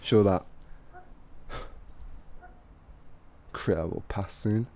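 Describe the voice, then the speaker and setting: Guy doing a smug flirty outlaw, kinda low voice, teasing fantasy roleplay audio, bit hesitant and improvised. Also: normal speed, gravelly, dark tone, slight background noise. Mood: chatty, amused.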